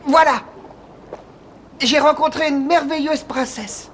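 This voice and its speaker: A man's voice: extremely high pitch, fairly even intonation, slow, a bit bright, slight background noise, exaggerated voice. A man voicing a small, plucky, lovestruck animal sidekick in a cartoon, earnest and a little hesitant. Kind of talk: French cartoon dub acting. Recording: music behind the voice.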